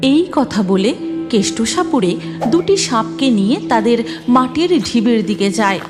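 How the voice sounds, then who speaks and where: A woman's voice: a little high, animated, unhurried, over music. A woman narrator reading a scripted fairy tale for a cartoon.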